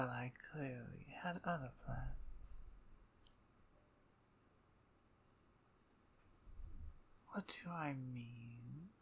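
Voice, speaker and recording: Female vampire usurper , played by a woman, ASMR drama: low, unhurried, husky half-whispers, dominant, smug and mockingly courteous. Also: heavily acted. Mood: gloating. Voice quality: breathy, husky. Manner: slow, taunting, mock-courteous, breathy half-whispers. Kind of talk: ASMR audio roleplay, dramatic monologue.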